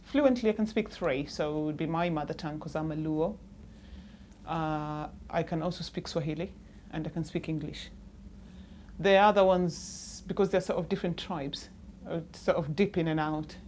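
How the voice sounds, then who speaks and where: Woman, slightly low voice. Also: normal speed, darkish tone, slight background noise. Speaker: documentary interviewee. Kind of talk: reminiscing to camera.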